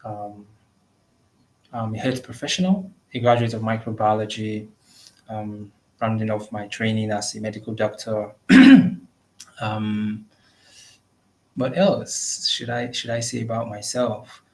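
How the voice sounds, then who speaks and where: A man's voice: fairly low pitch, unhurried, long pauses, a little mellow, rough recording. A young Nigerian man with a Nigerian accent, a podcast guest giving earnest, composed answers over a video call. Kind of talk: measured interview answers.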